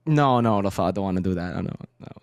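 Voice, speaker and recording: A man's voice: sits low, lively intonation, rapid-fire, no echo. A man from Indonesia chatting casually and playfully as an esports podcast panelist.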